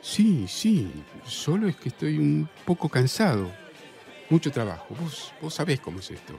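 A man's voice: fairly high pitch, sing-song, normal speed, creaky, darkish tone, soft background music. A man with an Argentine accent playing a polite, earnest, smitten dinner guest in a radio play. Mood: polite, weary.

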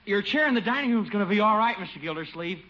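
A man's voice: high-pitched, animated, fast, bright, forceful tone. An actor playing an earnest, polite and eager young man in 1950s radio comedy. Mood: apologetic. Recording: muffled old radio broadcast.

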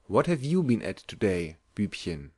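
Woman acting a male professor, low and animated, kindly, teasing, storybook character. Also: normal speed, breathy edge, exaggerated voice. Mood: gently teasing. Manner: quaint, old-fashioned phrasing. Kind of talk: audiobook character voice.

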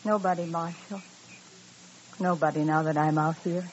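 A woman's voice: lowish voice, fairly even intonation, normal speed, natural voice. An actress playing a crazy, spurned frontier woman in an old radio western, brooding and defiant, with rustic, repetitive speech. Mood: sly, unbothered. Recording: dull, noisy old radio recording.

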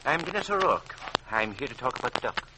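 A man plays a courteous, slippery mob lawyer in a vintage radio crime drama. His mid-pitched voice swings expressively and hesitates politely, under heavy recording noise. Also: big pitch swings, fairly quick, close to an everyday voice. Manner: courteous, hesitant, lilting questions. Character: polite, evasive male lawyer for gangsters. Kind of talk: old-time radio drama acting.

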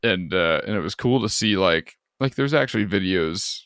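A man's voice: sits low, lively intonation, moderate pace, a bit bright, smooth, no echo, clean studio sound. A man hosting a casual chat, laid-back and full of fillers. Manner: laid-back, filler-heavy, says "like" a lot.

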